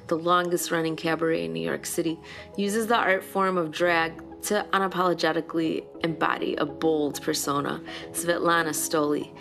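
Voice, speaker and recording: A woman hosting a podcast, reading a measured, scripted narration. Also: lowish voice, gravelly, a bit bright. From United States. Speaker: host.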